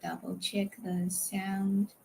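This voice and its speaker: A woman's voice: mid-range voice, level tone, takes it slowly, creaky, a little hiss or hum. A woman hosting a live audio session, welcoming guests in an upbeat, chatty way. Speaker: livestream host.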